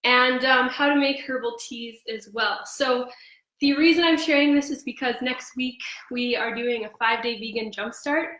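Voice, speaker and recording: Young woman a bit hoarse, bright voice, chatty live stream, echoey room. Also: fairly high pitch, moderate pace. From Canada. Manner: chatty, relaxed, frequent ums. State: slightly hoarse. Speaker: host of a cooking livestream. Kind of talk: casual live stream chat.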